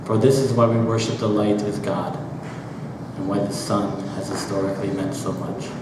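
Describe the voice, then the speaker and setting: A man giving a dramatic, deliberate literary reading of first-person prose, with drawn-out pauses and rhythmic repetition. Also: lowish voice, steady tone. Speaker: author reading own work aloud. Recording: very echoey hall, distant mic, background noise.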